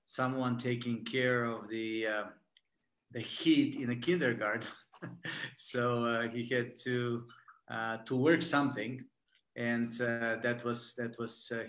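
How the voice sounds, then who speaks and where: A man's voice: medium pitch, a bit bright, roomy sound. A Bulgarian man whose first language is Bulgarian, a guest in an interview, speaking hesitantly with many fillers and frequent laughs. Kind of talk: interview conversation.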